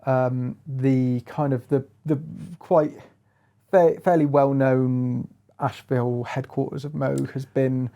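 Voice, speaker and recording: A man's voice: mid-range voice, flat delivery, slow, dark tone. A man presenting a hands-on music gear review in a casual chat, feeling his way through phrases with lots of hedging.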